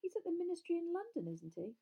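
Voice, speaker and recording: A woman's voice: very high-pitched, very animated, fast talker, creaky, dark tone, pitched above the performer's own voice, heavily acted. A woman reading a storybook as an earnest, guarded teenage boy with a careful, hesitant, casual way of speaking. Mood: curious.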